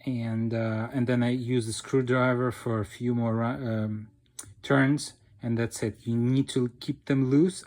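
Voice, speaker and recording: A man's voice: medium pitch, a little mellow. A man explaining a repair job informally as an instructor, checking in with the listener as he goes. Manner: explanatory, checks in with "okay" and "right". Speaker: DIY motorcycle repair instructor. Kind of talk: informal hands-on tutorial narration.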